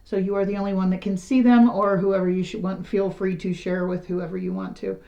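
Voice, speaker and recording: A middle-aged woman with a raspy, slightly hoarse voice gives a casual tarot reading to the camera. She talks in a frank, rambling, conversational way. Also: medium pitch, lively intonation, a little fast, dark tone. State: slightly hoarse after illness. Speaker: tarot reader.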